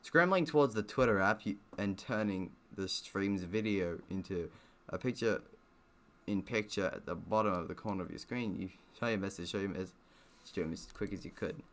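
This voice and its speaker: Sharp-voiced male streamer, fairly low. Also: normal speed. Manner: sweary, joking. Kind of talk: casual gaming commentary, reading visual novel text aloud.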